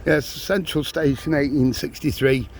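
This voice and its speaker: An older man, chatting casually while walking a town tour, his voice a little squeaky and strained. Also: steady tone, a little slow, creaky. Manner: chatty, rambling, self-mocking. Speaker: walking vlogger. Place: outdoors on busy town streets. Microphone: handheld camera on a gimbal. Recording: outdoor street noise.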